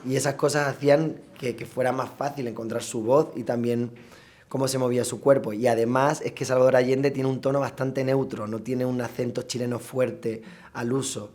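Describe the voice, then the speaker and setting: A man, a stage actor, talking reflectively in an interview, thoughtful and halting as he repeats and corrects his words. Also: mid-range voice, steady tone, a little mellow. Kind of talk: reflective interview talk about stage work.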